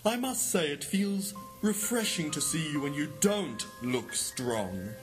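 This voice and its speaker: An actor playing a smug British mastermind who taunts genteelly in a 1950s-style radio drama. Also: male voice, fairly high pitch, sing-song, slow pace, music in the background. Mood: smug, mocking. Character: smug, mocking British male mastermind villain.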